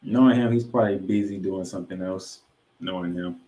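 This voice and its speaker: A man's voice: sits low, steady tone, brisk, warm tone. A man hosting a sports podcast, giving recap commentary in an emphatic way and repeating points for effect. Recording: roomy, echoing room sound.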